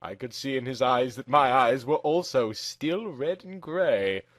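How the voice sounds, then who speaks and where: A man's voice: mid-range voice, measured pace, fairly bright tone, dry recording. A man narrating a first-person story in a theatrical, dramatic amateur reading style.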